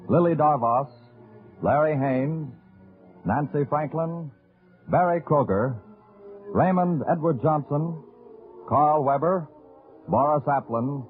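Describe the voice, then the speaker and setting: A man reading scripted credits as an old radio announcer, in a formal listing manner. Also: mid-range voice. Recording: tinny old radio recording, faint music.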